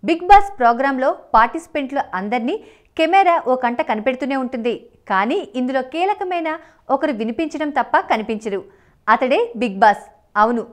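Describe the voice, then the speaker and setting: A woman reading a scripted entertainment news voice-over in an even, prepared manner. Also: high voice.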